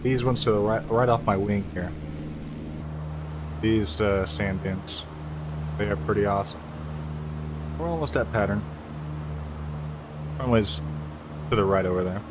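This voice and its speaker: A man's voice: lowish voice, unhurried, pauses often. An American man giving casual, easygoing tutorial commentary on a flight simulator, thinking aloud and making pilot radio calls. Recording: steady hum and engine drone. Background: flight simulator engine hum.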